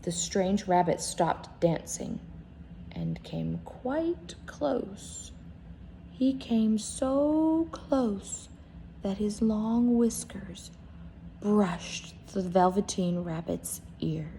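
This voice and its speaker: A woman reading a children's story aloud in an expressive, dramatic way, sometimes dropping to hushed tones. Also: mid-range voice, drawn-out words, creaky, a little hiss or hum, low-quality recording.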